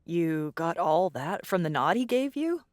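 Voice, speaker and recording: A woman's voice: on the low side, sing-song, unhurried, dry recording, studio quality. A woman playing a bitter, guarded survivor in an audiobook drama, with a blunt, wary, world-weary delivery. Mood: wary, probing.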